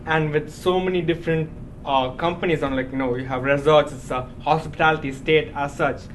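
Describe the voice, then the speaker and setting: Man from India, student panelist, fairly high voice, measured delivery, faint rumble underneath. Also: fairly even intonation. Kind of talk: panel discussion answers.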